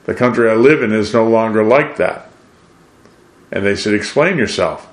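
An older American man from New England delivers a preachy monologue in a deliberate, emphatic way, posing rhetorical questions. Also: on the low side. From New England, USA. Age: 60s or older. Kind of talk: preachy monologue with read-out quotations. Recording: roomy, echoing voice.

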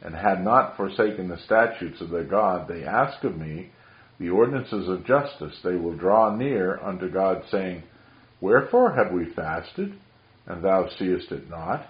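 A man's voice: low-pitched, lively intonation, a little slow. A man preaching a sermon in an earnest, rambling, preacherly way, with a voice he says carries far. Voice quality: carrying voice. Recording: dull roomy recording.

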